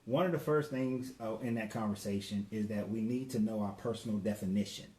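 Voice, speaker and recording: A man's voice: on the low side, a little fast, darkish tone. Laid-back man in his forties. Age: 40s. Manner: laid-back, slangy, talks to viewers by name. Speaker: co-host. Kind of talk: casual livestream talk. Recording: echoey room with faint background noise.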